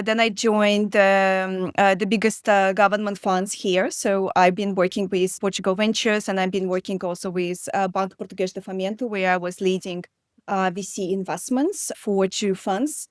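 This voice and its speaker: Woman, thirties, smooth clear voice, dry close sound, halting with fillers. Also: mid-range voice, no echo. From Belarus. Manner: hesitant, many fillers and restarts. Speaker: guest investor. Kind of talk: conversational interview.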